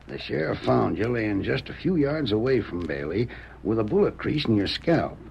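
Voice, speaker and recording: A cunning, cruel outlaw who talks in a very deep, gravelly drawl. His pitch rolls up and down with a lot of movement. The voice sits on a dull old radio recording with a faint hiss. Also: very animated, normal speed, a little mellow. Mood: scheming. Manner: low scheming frontier drawl. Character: cunning cruel male outlaw in a jail cell. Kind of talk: 1930s radio drama acting.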